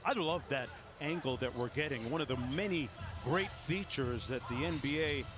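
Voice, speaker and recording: Higher-voiced man calling basketball. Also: moderate pace, over music. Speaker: play-by-play announcer. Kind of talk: live basketball TV commentary.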